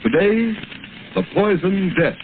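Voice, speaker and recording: Man announcer, dramatic lively read, noisy tinny old radio. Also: high voice, slow pace. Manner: dramatic, emphatic.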